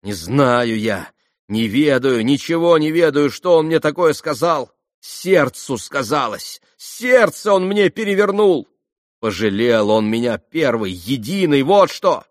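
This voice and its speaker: Man playing a hysterical, impassioned young woman, pitched high. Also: animated, measured pace, no echo, studio quality, close to an everyday voice. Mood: tearful adoration. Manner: shrill exclamations, impulsive swings between rage and anguish. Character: hysterical, spiteful, passionate young woman, proud and wounded.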